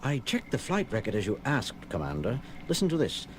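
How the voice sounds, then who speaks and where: An actor playing an earnest moonbase officer and scientist, reporting grave danger in an emphatic, deliberate way for a record drama. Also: male voice, mid-range voice, huge pitch swings, slight background noise. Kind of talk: 1970s record audio drama acting.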